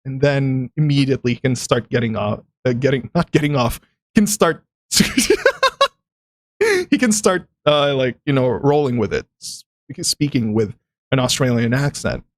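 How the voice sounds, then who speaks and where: A man's voice: medium pitch, normal speed, no room sound. A man with a Filipino accent, speaking English as a second language, chatting casually about voice acting on a stream and often breaking into laughter. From Philippines. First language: other than English. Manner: rambling, laughs often, many restarts.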